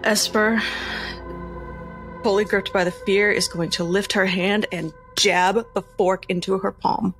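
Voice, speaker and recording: A woman's voice: mid-range voice, fairly even intonation, unhurried, a bit bright, faint music behind. A woman playing a tabletop game, describing what her character does in the third person.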